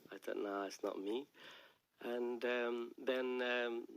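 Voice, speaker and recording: A middle-aged man with a Bangladeshi accent, a native Bengali speaker, talking casually and haltingly as a guest in a radio studio interview. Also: medium pitch, steady tone, clean tone, low-quality recording. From Bangladesh and England. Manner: halting, hesitant, frequent "you know". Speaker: interview guest, charity worker and businessman.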